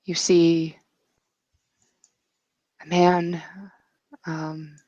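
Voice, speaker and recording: A woman game master improvising poetic scene narration for a tabletop roleplay, pausing as she searches for descriptions. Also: fairly low pitch, monotone, dark tone, no echo, muffled recording.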